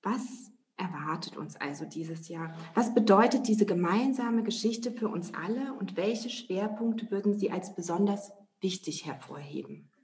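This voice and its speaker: German woman cohost, measured reading voice, middle pitch. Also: darkish tone. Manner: measured, reads guest introduction. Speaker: podcast co-host. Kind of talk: scripted podcast moderation.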